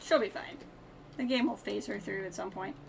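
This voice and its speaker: A woman's voice: medium pitch, moderate pace, vocal fry, crowd noise. A woman live streaming a game with casual commentary, talking to herself in short, playful asides. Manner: talks to self in short asides, playful wording.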